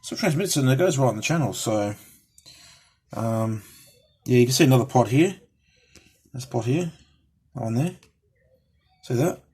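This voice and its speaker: A man giving a casual, rambling, unscripted show-and-tell as a hobbyist, halting as he goes, with a slightly husky voice. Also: mid-range voice, lively intonation, darkish tone. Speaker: hobbyist presenter showing a restored CB radio.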